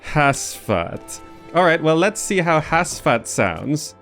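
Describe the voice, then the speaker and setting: A man's voice: fairly high pitch, very animated, takes it slowly, a bit bright, faint music behind. A man hosting a gaming video with casual, hesitant commentary, thinking aloud as he plays. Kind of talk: casual game playthrough commentary.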